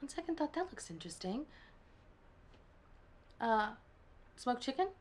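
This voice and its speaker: A woman's voice: fairly high pitch, very animated, measured pace, slight background noise. An actress playing a self-assured corporate businesswoman who talks in a clipped, decisive manner in an acted game scene. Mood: brisk, decisive.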